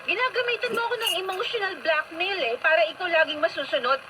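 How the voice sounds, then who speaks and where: Fed-up young woman for radio drama: high, scornful, nagging, over clear noise. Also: fast. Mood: exasperated. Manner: scolding, exasperated.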